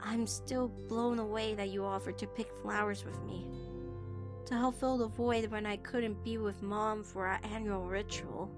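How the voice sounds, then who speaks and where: A woman voicing an awkward, lovestruck teenage girl in a cartoon comic dub, speaking in a halting, heartfelt way over music. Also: mid-range voice, fairly even intonation, lightly acted. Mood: tender, sincere. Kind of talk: animated-series comic dub acting.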